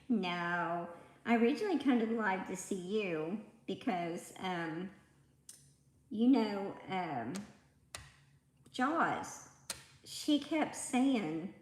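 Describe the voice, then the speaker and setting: Slow, soft-voiced woman with a raspy edge. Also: on the low side, slight background noise. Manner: chatty and rambling, greeting viewers by name. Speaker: livestream host. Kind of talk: casual livestream chat with viewers. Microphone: tablet microphone. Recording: echoey room.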